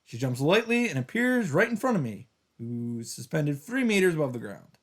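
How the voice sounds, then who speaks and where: A man giving casual let's play commentary and reading visual novel narration aloud in a halting, rambling way. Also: a little high, sing-song.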